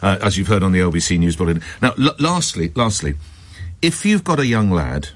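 A man hosts a phone-in from a studio, his voice low and a little husky. He speaks close to a dry microphone and challenges his callers persuasively, firing questions back at them. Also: very animated, brisk, dry recording. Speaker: radio talk show host. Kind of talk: phone-in radio hosting. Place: radio studio.

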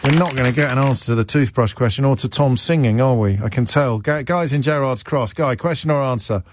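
A man's voice: medium pitch, fairly quick, a little hiss or hum. A man hosting a radio phone-in, chatty and jokey, often restarting and trailing off. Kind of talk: casual radio phone-in chat. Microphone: studio mic.

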